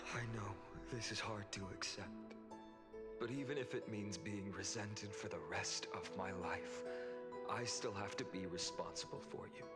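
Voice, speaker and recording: An actor voicing an earnest young male leader in a video game, speaking in a sincere, measured way. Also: lowish voice, natural voice. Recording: game audio with music and reverb.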